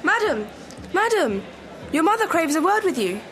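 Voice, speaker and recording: A woman's voice: extremely high pitch, very animated, normal speed, crowd noise. A young woman acting a bustling, watchful household nurse in a Shakespearean audio play. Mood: brisk. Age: young adult. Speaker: student actor.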